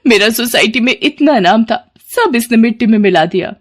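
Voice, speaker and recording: A woman's voice: fairly high pitch, animated, normal speed. A haughty, rich mother-in-law of middle age, put on by a woman storyteller. She is scornful and melodramatic, a schemer from a family morality tale. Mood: bitter, wounded.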